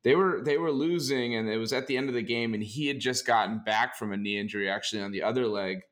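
A young man co-hosting a casual sports podcast, hoarse and raspy from a sinus infection, talking in a relaxed, jokey way. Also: medium pitch, quick pace. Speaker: co-host.

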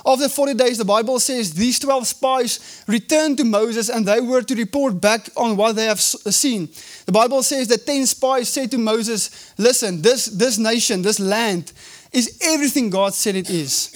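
High-voiced South African preacher. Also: male voice. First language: Afrikaans. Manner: preaching with emphatic drawn-out phrases and frequent restarts. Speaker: pastor. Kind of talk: sermon.

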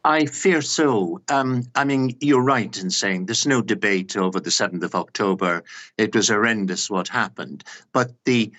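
An older man giving analysis as an expert guest in a news interview, earnest, emphatic and deliberate. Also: lowish voice, fairly bright tone. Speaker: expert guest, director of an organisation.

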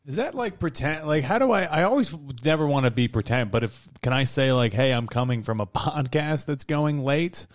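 A man's voice: medium pitch, expressive, moderate pace, few pauses. A man guesting on a comedy podcast, talking in a hesitant, earnest, self-doubting way. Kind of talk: casual comedy podcast banter. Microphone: podcast studio mic.

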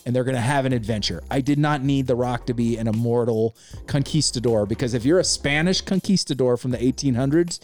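A man's voice: medium pitch, brisk. Opinionated man ranting about a movie. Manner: opinionated, rambling, animated retelling. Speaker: podcast co-host. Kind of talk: casual movie review chat.

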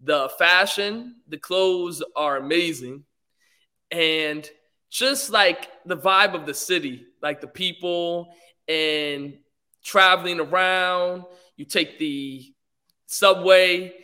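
A man's voice: high-pitched, slow pace, bright, forceful tone, smooth. A young man from England, a guest on a podcast, talking politely and easygoingly with many fillers. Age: young adult. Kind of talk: casual interview.

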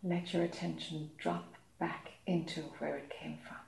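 Woman, meditation guide, mellow dark tone, slow soft delivery, long pauses, roomy echo. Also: fairly low pitch, little pitch movement, creaky. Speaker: meditation teacher. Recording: echoey room.

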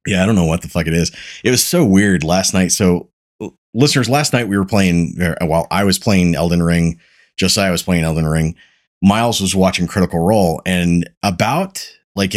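A man hosting a casual podcast, congested and starting to lose his voice, rambling and swearing freely. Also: sits low. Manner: rambling, profane, laid-back. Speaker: podcast host.

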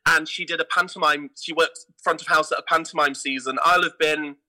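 A middle-aged man, telling stories casually as an interview guest, in an animated way. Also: fairly high pitch, a little fast, low-quality recording. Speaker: theatre artistic director, podcast guest.

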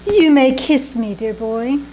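A woman's voice: high-pitched, takes it slowly, warm tone. A woman playing a flamboyant, daffy young Hollywood starlet with affected, dramatic delivery in an old-time radio comedy on stage. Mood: coy, haughty. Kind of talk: old-time radio comedy acting before a live audience. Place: small-town theater stage. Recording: noisy live theater stage recording.

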